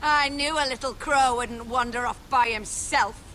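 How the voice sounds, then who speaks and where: A hostile, taunting wildling woman raider from a fantasy game, played by an actress. Her voice is high and sharp with menace. Faint ambient noise lies behind the dramatic delivery. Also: steady tone, moderate pace, lightly acted. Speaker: game villain. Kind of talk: dramatic video game acting. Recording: noisy outdoor game ambience.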